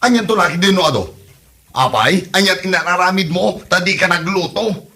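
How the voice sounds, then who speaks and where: A man's voice: sits high, moderate pace, creaky, bright, forceful tone, heavily acted. A man acting a fed-up husband scolding his wife in an Ilocano comedy drama, with an Ilocano accent.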